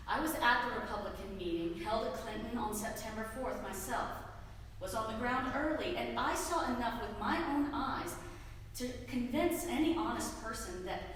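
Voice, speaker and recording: A woman's voice: fairly high pitch, close to an everyday voice. A woman playing an earnest, indignant letter writer from 1875, speaking in solemn, formal oratory in a dramatic reading. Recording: echoey hall from far off, background noise.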